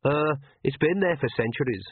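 A man voicing a flustered, sharp-tongued young woman who is hesitant yet blunt in an audiobook. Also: on the high side, expressive, moderate pace, slightly rough, no echo, phone-quality sound, pitched above the performer's own voice. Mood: uneasy.

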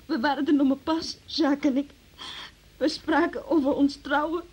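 A woman's voice: high voice, steady tone, moderate pace, darkish tone, natural voice. An actress in a radio drama playing a frightened young woman under police questioning, tearful, faltering and pleading. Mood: distressed, faltering.

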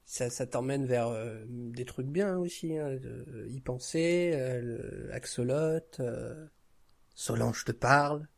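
Gravelly man chatting hesitantly. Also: medium pitch, slow pace. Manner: hesitant, searching for words. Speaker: podcast guest, audio fiction creator. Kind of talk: casual one-on-one chat.

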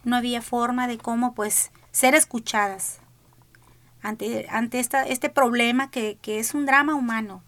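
A woman's voice: a little high, fairly even intonation, unhurried, a bit bright. A middle-aged woman from El Salvador with a Salvadoran Spanish accent, speaking as the mother of a missing migrant on a radio panel, earnest and pleading. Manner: earnest, pleading, repeats "¿verdad?".

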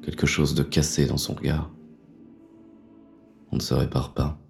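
A man's voice: very deep voice, flat delivery, a little slow, gravelly, soft background music. A man narrating in the first person as a weary, grim inspector in an audio drama. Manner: weary, grim inner monologue.

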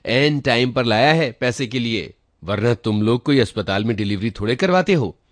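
A man reading a story plays a scornful, suspicious female nurse at a hospital. The delivery is brusque and accusing, raised in pitch above the reader's usual voice, with a dry sound. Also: lively intonation, normal speed, no room sound, close to an everyday voice.

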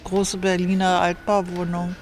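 A woman's voice: fairly low pitch, completely flat delivery, a little slow. A woman casually recalling the past as an interviewee, on a noisy recording.

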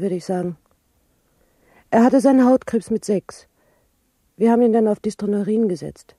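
A woman playing a drug-addled, indifferent mother in a satirical radio drama, husky, rambling and blasé. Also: lowish voice, dark tone, dry recording.

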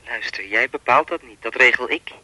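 An actor playing a sinister, persistent phone stalker in a Dutch radio play, talking in a pompous, wordy, coolly controlling way. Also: male voice, mid-range voice, moderate pace, pushed and bright, dry recording, low-quality recording. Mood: controlling, menacing.

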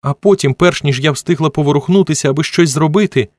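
Man playing high-pitched, flustered young woman. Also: fast talker, a little mellow, no echo, lightly acted. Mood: tearful, defensive. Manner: agitated, pleading and accusing. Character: tearful, defensive young woman, a flustered nursery helper.

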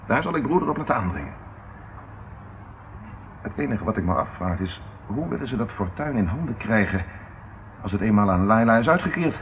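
An actor playing a stern, impatient police inspector in a radio play, speaking in a dry, businesslike way. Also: male voice, fairly low pitch, sing-song, brisk, long pauses. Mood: brisk, pondering. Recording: muffled, noisy old radio recording.

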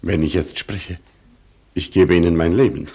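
An actor playing a proud, tormented Polish colonel in a German radio play, declaiming solemnly. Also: male voice, low-pitched, fairly even intonation, normal speed, warm tone, husky. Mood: grave.

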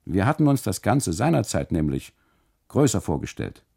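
An aggrieved, boastful custodian of a café, acted out by a male narrator for radio. His deep voice has a gravelly edge and swings widely as he calls out and justifies himself with emphasis. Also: big pitch swings, moderate pace, full character voice. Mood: showy, explaining. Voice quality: loud, calling out. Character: limping café custodian, aggrieved, boastful, loudly self-excusing.

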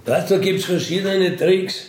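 A middle-aged man with a Bavarian accent tells first-person anecdotes casually, rambling along with colloquial tag questions and throat clearing. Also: high voice, moderate pace, noisy background. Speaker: storyteller, self-described burglar.

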